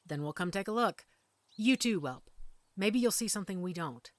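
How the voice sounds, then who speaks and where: A woman playing a calm, protective werewolf mother who leads her pack in an audio drama, speaking with authority. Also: mid-range voice, normal speed, no room sound, close to an everyday voice. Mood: calm, commanding. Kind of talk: audio roleplay acting.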